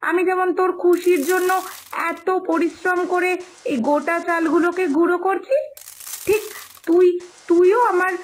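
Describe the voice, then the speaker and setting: A woman's voice: extremely high pitch, steady tone, moderate pace, a little hiss or hum. A woman voicing a doting, hard-working village mother in a cartoon moral story, with a coaxing, explanatory manner that repeats phrases. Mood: patient, coaxing.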